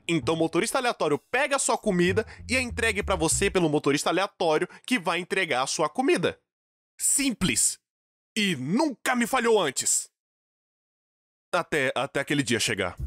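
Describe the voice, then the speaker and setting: A Brazilian man delivers comedic storytime narration with a very lively pitch that swings widely. His manner is exaggerated and dramatic, full of casual slang. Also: dry recording, studio quality. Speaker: storytime animation narrator, dubbing in Portuguese.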